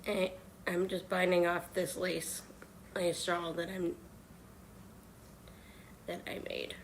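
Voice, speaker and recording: A hoarse woman chatting softly on a live stream while she knits, with many pauses and fillers. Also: on the low side, level tone, a little hiss or hum, low-quality recording. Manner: halting, many ums and uhs. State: hoarse from illness. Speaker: knitting livestreamer. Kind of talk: soft-spoken live stream chat.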